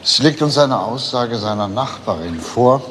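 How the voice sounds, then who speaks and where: An actor playing a calm, persistent police detective who questions pointedly in a German crime drama. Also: male voice, mid-range voice, moderate pace, lightly acted. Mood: matter-of-fact. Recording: very reverberant hall, faint noise.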